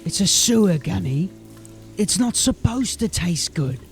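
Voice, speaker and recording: An actor playing a sardonic, coarse, bullying sewer rogue in a fantasy audio drama. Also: male voice, high voice, lively intonation, unhurried, gravelly, faint music behind, exaggerated voice. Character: gruff, bullying, sarcastic watchful sewer-crawling rogue, male.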